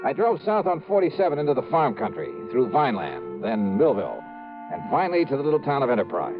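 An actor playing a savvy insurance investigator in a 1950s radio drama, speaking and narrating in a wry, clipped, casual way. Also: male voice, medium pitch, huge pitch swings, fast talker, over music. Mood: brisk narrating. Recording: dull vintage radio recording.

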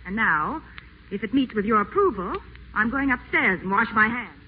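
An actress playing a brisk, fed-up young woman secretary in a 1940s radio play, firm and exasperated. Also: fairly high pitch, lively intonation, breathy edge. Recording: muffled old radio broadcast, faint hiss.

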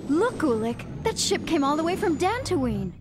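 A woman's voice: high voice, very animated, normal speed, noisy background. An actress playing an earnest, caring young female Jedi apprentice in an audio drama. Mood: eager.

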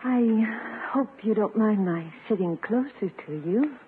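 A woman's voice: medium pitch, lively intonation, a little slow, phone-quality sound, close to an everyday voice. An American actress playing a coy, gushing, romantic woman angling for a husband, in 1950s radio comedy style. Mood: coy, flirtatious. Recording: thin, faintly noisy 1950s radio recording.

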